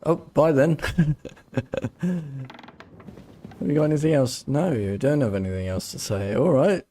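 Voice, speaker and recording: A man's voice: mid-range voice, slow pace, warm tone. A man streaming a video game, giving casual playthrough commentary, musing aloud with dry jokes.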